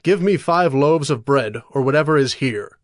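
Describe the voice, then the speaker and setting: Male actor as resolute young warrior, mid-pitched, formal, persuasive, dry close recording. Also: lively intonation, normal speed, no echo, natural voice. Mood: earnest, persuasive. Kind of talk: dramatized Bible story acting.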